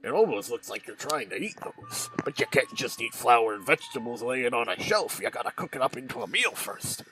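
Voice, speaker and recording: A man voicing a gruff, food-minded character musing aloud in a fan audiobook. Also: medium pitch, very animated, brisk, few pauses, close to an everyday voice, low-quality recording. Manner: musing aloud, answering own questions. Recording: music behind the voice.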